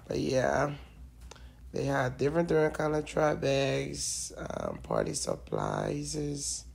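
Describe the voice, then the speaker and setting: A woman from Liberia, narrating casually over store footage with relaxed, hesitant fillers. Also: a bass voice, takes it slowly, vocal fry. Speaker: vlogger.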